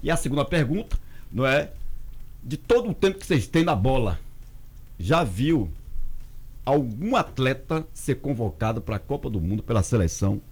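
Quick, distant radio talk show host. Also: male voice, mid-range voice, very animated, breathy edge. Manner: quick, hands the word to panelists.

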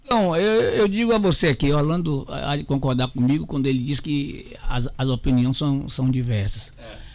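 A man on a radio sports panel gives firm opinions, halting and repeating his words often. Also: mid-range voice, darkish tone.

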